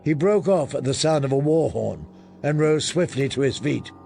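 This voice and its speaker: A man narrating a fantasy audiobook in a deliberate, grave manner. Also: mid-range voice, animated, gravelly, mellow, faint music behind.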